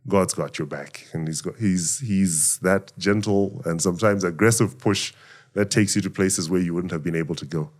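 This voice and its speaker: A middle-aged man from Zambia, a voice actor giving thoughtful interview answers in a measured, reflective way. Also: sits low. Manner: measured, reflective, speaks of faith and gratitude. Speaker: voice actor and emcee, guest.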